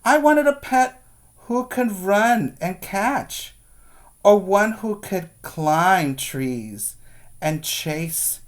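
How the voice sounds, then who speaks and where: Slow-paced man reading aloud to children. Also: on the high side. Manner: expressive, emphatic storytelling for young children. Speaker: preschool teacher. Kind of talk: children's read-aloud with asides.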